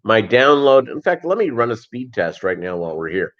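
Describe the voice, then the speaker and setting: A middle-aged man chatting casually as a livestream host, in a rambling, halting way that often repeats phrases. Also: medium pitch, very animated. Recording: dull lo-fi livestream audio.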